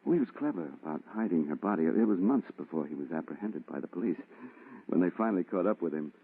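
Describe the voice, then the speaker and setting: Haunted obsessive man, low husky voice, volatile radio-horror delivery, stammering, on a distorted vintage recording. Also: animated, quick pace, narrow, tinny audio, full character voice. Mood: urgent, obsessive. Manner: dramatic, stammering, swinging from brooding to frantic. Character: haunted, agitated man obsessed with a ghostly woman. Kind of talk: old-time radio horror acting.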